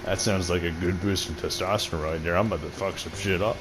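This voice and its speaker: A man with a deep voice casually commenting on a game and reading quest text, rambling and swearing freely. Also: fairly even intonation, fast, background noise. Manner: profane, rambling, laughs often. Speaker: gaming video host.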